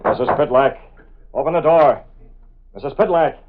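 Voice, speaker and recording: An actor playing a resolute masked vigilante in vintage radio drama, with a clipped, authoritative, measured delivery. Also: male voice, a little high, phone-quality sound, soft background music, close to an everyday voice. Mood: commanding, menacing. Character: resolute masked male vigilante, cool commanding gentleman. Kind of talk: 1930s-40s radio drama acting. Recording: thin band-limited vintage radio recording.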